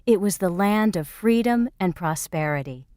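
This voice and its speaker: A woman reading example sentences and a reading passage for textbook audio, slowly and carefully. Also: medium pitch, expressive, a little mellow, dry recording, studio quality. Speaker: textbook reader. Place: recording studio.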